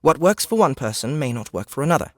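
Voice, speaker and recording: A patient otherworldly woman, acted out by a male audiobook narrator, guides with formal, measured explanations. Her pitch moves in lively swoops through a fantasy story. The sound is dry and close, and she carries a faint edge of judgment. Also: no room sound.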